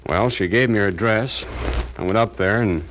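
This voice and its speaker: An actor playing a nervous, pleading out-of-town husband in a 1950s radio drama. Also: male voice, fairly low pitch, normal speed, fairly bright tone, a little hiss or hum, natural voice, rough recording. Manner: stammering, pleading, hesitant.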